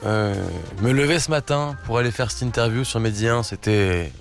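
A man's voice: mid-range voice, normal speed, over music. A male radio host asking short questions and closing the weekly show. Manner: brief prompting questions. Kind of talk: interview hosting.

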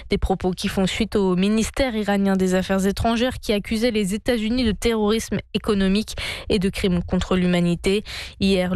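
A woman reading a radio news bulletin in a brisk, measured newsreader manner. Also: mid-range voice, darkish tone, clear, resonant tone, no echo. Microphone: studio microphone.

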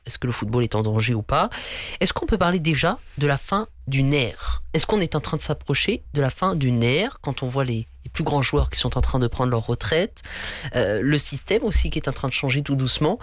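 Chatty radio sports host from Normandy. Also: male voice, animated, brisk, dry recording, clean studio sound. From Normandy, France. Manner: chatty, reads out listener messages, swoops up in pitch. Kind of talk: lively sports talk show hosting. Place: radio studio.

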